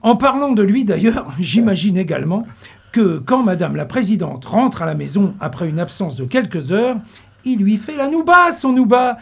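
A middle-aged man with a husky, high-set voice reads a playful radio column in the studio. He lingers on puns and slips into mock-solemn asides. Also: big pitch swings, normal speed. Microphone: studio mic.

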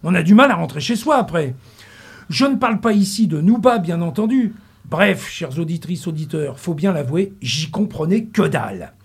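A middle-aged man with a husky, high-set voice reads a playful radio column in the studio. He lingers on puns and slips into mock-solemn asides. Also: animated, moderate pace. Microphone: studio mic.

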